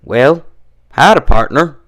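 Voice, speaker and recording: A man puts on a feisty young country mare from a cartoon fan story. She speaks in a folksy Southern American drawl, raised in pitch and very animated. Her words come out plain and emphatic. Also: slow pace. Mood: friendly, hearty. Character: feisty young female country farm pony, plain-spoken, stubborn. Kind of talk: cartoon fan fiction character reading.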